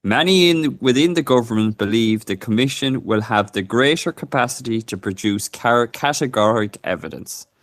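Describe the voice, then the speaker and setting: A man's voice: medium pitch, lively intonation, normal speed, hardly any pauses, no room sound. A man co-hosting a casual live stream, speaking hesitantly and reading a news report aloud. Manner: hesitant, many fillers and restarts. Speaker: co-host.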